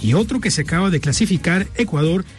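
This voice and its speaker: A man narrating a scripted radio news feature in a measured, lightly playful way. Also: high voice, lively intonation. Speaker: radio reporter. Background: music bed.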